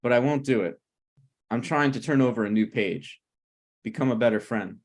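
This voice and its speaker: A man's voice: mid-range voice, normal speed, lightly acted. A man reading the part of a flippant, needling, self-deprecating screenwriter in a conversational comedy table read. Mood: awkward banter.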